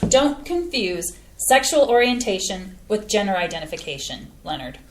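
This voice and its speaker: A woman's voice: mid-range voice, normal speed, noisy background, natural voice. An actress reading a chatty, earnest middle-aged mother in a staged play reading. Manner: lively, earnest. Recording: echoey room.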